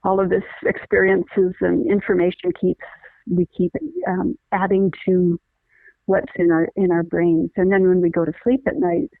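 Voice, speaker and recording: A woman's voice: on the low side, flat delivery, moderate pace, dry recording. Woman guest, middle-aged, earnest explainer with many restarts; dry phone-line sound. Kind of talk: conversational interview, explaining.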